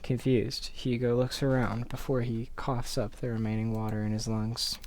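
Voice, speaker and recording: A man with an average-pitched voice reads a story aloud. He narrates expressively, like someone sharing a visual novel with viewers, and can slip into casual commentary. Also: flat delivery, normal speed, darkish tone, a little hiss or hum.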